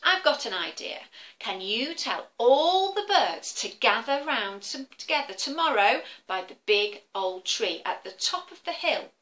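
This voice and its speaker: A woman voicing a wise female owl in a children's story, speaking in a measured, thoughtful way. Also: fairly high pitch, sing-song, a bit bright.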